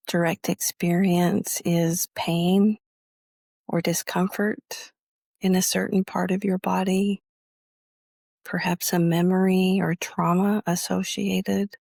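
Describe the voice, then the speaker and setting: A woman teaching guided meditation in a measured, instructional way, leaving long pauses between phrases. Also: on the low side, flat delivery, vocal fry, a little mellow, no room sound.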